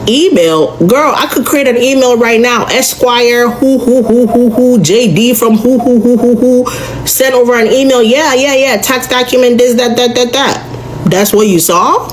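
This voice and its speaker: A woman's voice: fairly high pitch, a little hiss or hum, low-quality recording. A woman giving sarcastic commentary, firing rhetorical questions at a scam victim. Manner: sarcastic, rapid-fire questioning. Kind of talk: reaction commentary video.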